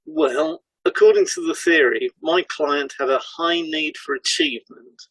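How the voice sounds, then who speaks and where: Man, gravelly, rough-edged voice, podcast co-host, scripted case narration, dry sound. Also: a little high, sing-song, measured pace, a bit bright, no room sound, rough recording.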